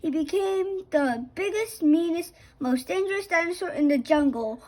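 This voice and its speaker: A woman reading a picture book aloud for children, in a slightly halting way. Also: extremely high pitch, expressive, darkish tone. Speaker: children's story reader. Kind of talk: scripted storybook narration for children.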